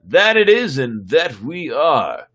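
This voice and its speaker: A man's voice: on the high side, huge pitch swings, takes it slowly, a bit bright, full character voice. A man playing a stern, sardonic starship captain with a dry, commanding manner in a sci-fi story reading.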